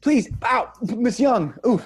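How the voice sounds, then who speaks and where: A man's voice: very high voice, expressive, takes it slowly, slightly rough, full character voice. A man playing a panicky eighteen-year-old boy with a warning in a table read, pleading breathlessly. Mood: panicked pleading.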